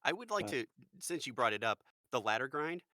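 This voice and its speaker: A man in his forties, a voice actor and podcast guest, chatting in an animated way with a fairly high-pitched voice. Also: a little fast, dry recording, clean studio sound. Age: 40s. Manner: chatty, animated storyteller, quotes people in lively voices. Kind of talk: casual chat.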